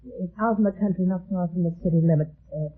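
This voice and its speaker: An actress playing a worried, earnest farm woman in a 1950s radio detective drama, speaking haltingly on a muffled old recording. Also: on the low side, slightly rough. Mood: wistful, worried. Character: anxious farm woman seeking her missing brother.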